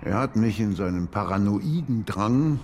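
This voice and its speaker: Proud older gentleman, low, expressive. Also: measured pace, slight background noise. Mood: wounded, reflective. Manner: indignant, dignified, dramatic. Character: proud older British gentleman author, defensive, stubborn believer. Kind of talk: German radio drama acting.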